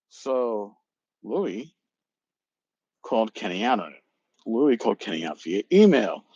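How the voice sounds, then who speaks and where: A man hosting a podcast, talking casually and reading aloud in an emphatic, theatrical, sometimes halting way, on a slightly lo-fi recording. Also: on the high side, no echo. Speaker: podcast host. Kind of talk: casual talk with dramatic reading of emails aloud.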